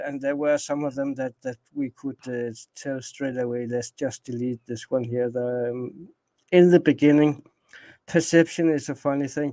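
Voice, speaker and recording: A man's voice: a little high, darkish tone, no echo. A middle-aged Danish man speaking English with a Danish accent, rambling casually as an interview guest. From Denmark. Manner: rambling, many restarts and fillers. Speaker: guest, space anomaly researcher.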